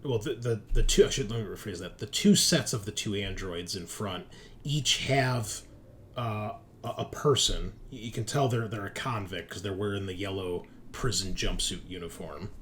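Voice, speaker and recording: A man with a fairly deep voice runs a tabletop game as game master. He narrates in a halting, self-correcting way and explains rules as he goes. There is some light background noise on the recording. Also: fairly bright tone. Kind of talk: narrating and refereeing a tabletop role-playing game.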